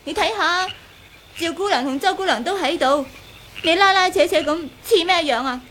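High female voice, jealous young woman, sharp-tongued, petulant, radio drama, faint background noise. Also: moderate pace. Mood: scolding. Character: fiery, jealous, scolding young woman with a grudge. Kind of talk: Cantonese radio drama acting.

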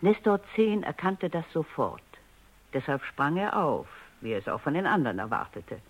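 A woman's voice: low-pitched, big pitch swings, moderate pace, slightly rough, highs cut off, full character voice. A self-assured woman scientist in a radio play. She speaks with analytical precision, phrasing each thought like an expert. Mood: composed, explanatory. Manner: precise, lecturing, composed. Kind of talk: German radio drama acting.

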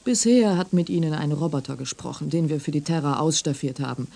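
An actress playing a polite, deferential and slightly hesitant young interviewer in a radio play. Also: female voice, sits low, brisk, gravelly, warm tone. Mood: calm, insistent. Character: polite, hesitant young interviewer, secretly an alien robot envoy. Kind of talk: German radio drama acting.

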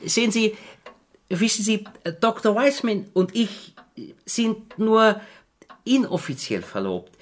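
An actor playing an awkward, apologetic gentleman in an old-fashioned radio play, speaking formally and hesitantly. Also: sits high, expressive, measured pace, pauses often. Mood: embarrassed.

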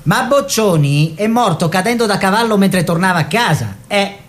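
A man's voice: animated, normal speed. An actor playing a theatrical man of the arts world, declamatory and ironic, in a radio drama style. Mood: ironic storytelling. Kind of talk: theatrical narration and dialogue for radio. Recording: radio play with faint noise and rumble.

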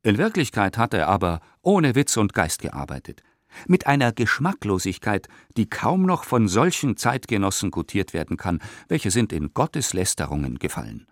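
A man's voice: on the low side, very animated, normal speed, no echo, studio quality. A male actor playing an indignant, pompous 1890s newspaper critic in a radio play, declaiming with scorn. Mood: indignant, sneering. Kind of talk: dramatic reading of an 1895 newspaper report in a radio play.

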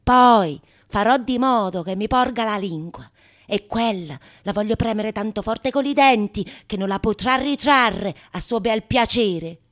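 A woman playing a fierce, lewd, vengeful wife in a Renaissance stage comedy, declaiming emphatically with drawn-out words and gleeful mock passion. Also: mid-range voice, fairly bright tone, natural voice. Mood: gleeful fury. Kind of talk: theatrical monologue of Renaissance comedy.

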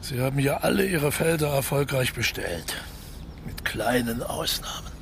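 A man's voice: mid-range voice, animated, normal speed, vocal fry, fairly bright tone, slight background noise. A man acting a resigned, wry failed actor turned thief in a radio crime comedy, in an understated, naturalistic way.

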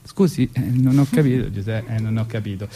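A man's voice: fairly low pitch, brisk, crowd noise. A man with a Roman accent performs a wry spoken monologue in a colloquial register. He leans on repetition for emphasis, like a storyteller hosting on local radio. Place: radio studio.

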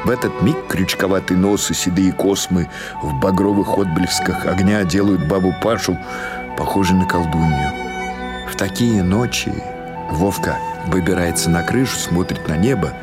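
A man's voice: deep voice, moderate pace, loud music behind the voice. A man reading literary prose as a scripted audiobook narration.

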